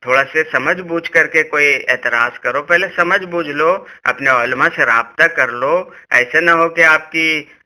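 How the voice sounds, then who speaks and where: A man's voice: fairly high pitch, pushed and bright. A man giving an argumentative religious explanation on a recorded phone call, insistent and lecturing, often repeating himself.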